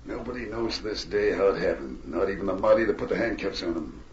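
An actor playing a rough, shifty fur smuggler with a folksy, ungrammatical way of talking, in 1940s radio drama style. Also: male voice, deep voice, fast, lightly acted. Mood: gossiping, low. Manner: folksy, ungrammatical drawl of an outlaw. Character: rough male fur-smuggling gang member, shifty and gossipy. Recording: noisy, muffled old radio recording, echoey.

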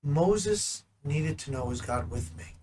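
A man in ministry teaching the Bible in conversation, animated and full of rhetorical questions. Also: on the high side, takes it slowly, slight background noise. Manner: animated, asks rhetorical questions, acts out dialogue.

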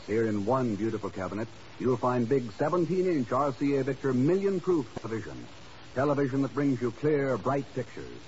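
A man's voice: mid-range voice, slight background noise. 1950s male radio announcer, muffled recording. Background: music.

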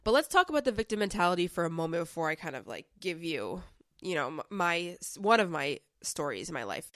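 A woman's voice: on the low side, big pitch swings, a little fast, a bit bright, no echo, studio quality. A young woman hosting a casual self-help podcast, talking in a chatty, conversational way with lots of filler words while a little sick, her voice slightly off. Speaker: podcast host.

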